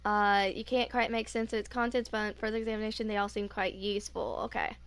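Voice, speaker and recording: A woman's voice: medium pitch, level tone, a little fast, clean tone. A woman in her twenties doing a casual game playthrough, reading text aloud and talking to herself unhurriedly. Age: 20s. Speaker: gaming video creator.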